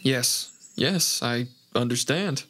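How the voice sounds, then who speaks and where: An actor playing a calm, wise older countryman who speaks gently and chuckles softly. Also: mid-range voice, slow pace. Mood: gentle. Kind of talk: radio play acting.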